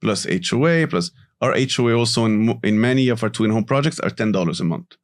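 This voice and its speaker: A man from Morocco, a company CEO, talking casually as a podcast guest and explaining things in a relaxed way with frequent asides. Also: fairly low pitch, quick pace. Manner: relaxed, explanatory, tags sentences with "right?" and "you know". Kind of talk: casual interview talk.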